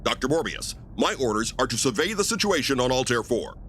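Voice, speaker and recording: An actor playing a stern, authoritative spaceship commander giving clipped, formal orders in an old-time radio sci-fi play. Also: male voice, medium pitch, big pitch swings, a little fast, fairly bright tone, a little hiss or hum. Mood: firm.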